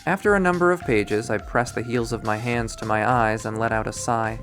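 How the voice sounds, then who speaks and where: Male game readthrough narrator, mid-pitched, reading scripted prose with wry drama over background music. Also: a little fast, a bit bright. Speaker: visual novel readthrough player and narrator.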